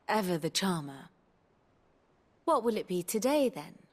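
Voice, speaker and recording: An actress playing a learned, wry and poised woman counsellor in a fantasy video game, lecturing in a measured, erudite way. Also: mid-range voice, big pitch swings, breathy edge, heavily acted. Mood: wry teasing. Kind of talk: expository fantasy game acting. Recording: loud music under the voice. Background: loud music.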